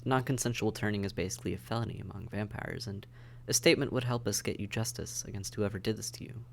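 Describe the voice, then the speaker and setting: A man's voice: fairly low pitch, fairly even intonation, fast talker, creaky, slight background noise. A man playing a weary vampire intake officer in an audio roleplay, matter-of-fact and bureaucratic, with dry hesitation, speaking straight to the listener. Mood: hesitant, skeptical. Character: weary, businesslike male vampire intake officer mentoring newcomers. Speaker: medical examiner and caseworker.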